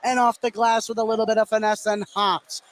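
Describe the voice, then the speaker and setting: A male basketball commentator with a high voice calls the game live for radio. He follows the action pass by pass and grows excitable on the big plays. Clear gym noise runs behind him. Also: brisk. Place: indoor basketball gym, courtside.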